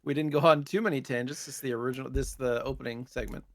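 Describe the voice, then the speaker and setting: A man's voice: medium pitch, steady tone, fast talker, slight background noise. A man co-hosting a casual retro gaming podcast, speaking conversationally. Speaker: podcast co-host.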